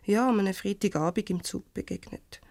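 A woman playing a warm-hearted, defensive divorcee in a Swiss German radio comedy, conversational and a little hesitant. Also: medium pitch, brisk, creaky, no echo, natural voice. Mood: confiding. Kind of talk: naturalistic 1970s radio comedy acting.

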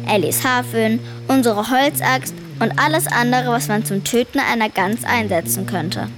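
A woman's voice: fairly high pitch, natural voice. A woman playing a clever, defiant ten-year-old girl in a children's radio play, dry and wordy as she tells the story. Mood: knowing, determined. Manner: dry, wry, precociously wordy. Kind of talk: German children's radio play narration and dialogue.

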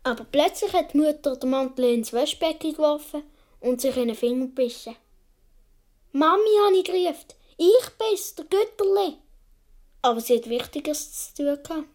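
A woman's voice: sits high, expressive, normal speed, a little mellow. A woman playing a young Swiss boy who tells his childhood adventures vividly in a radio play, with a Swiss German accent. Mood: calling out. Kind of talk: first-person radio play narration.

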